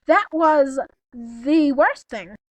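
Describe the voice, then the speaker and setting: High-voiced young woman, giggly and sarcastic. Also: lively intonation, extremely slow, no room sound. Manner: giggly, mock-dramatic sarcasm. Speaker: co-host reading fanfiction. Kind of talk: casual joking chat on a call with a friend.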